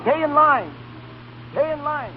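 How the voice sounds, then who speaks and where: An actor playing a weary, exasperated relief helper who barks orders in a 1930s radio drama. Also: male voice, very high voice, lively intonation, measured pace. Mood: barking. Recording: muffled old radio recording with music.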